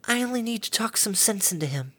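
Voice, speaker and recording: A woman voicing a timid, gentle female pegasus pony who speaks softly and soothingly. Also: mid-range voice, expressive, quick pace, husky, voice pushed up. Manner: soft, soothing, gently pleading.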